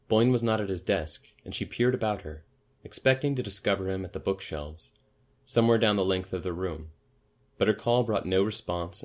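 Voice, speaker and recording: A man narrating literary fiction as a story reader, in an audiobook style. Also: low-pitched.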